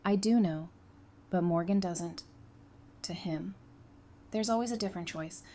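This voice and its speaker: A woman's voice: on the low side, measured pace, dark tone, lightly acted. A woman playing a witty, hardened apocalypse survivor in a fan audio drama, with a teasing, conversational delivery. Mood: earnest. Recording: clear background noise.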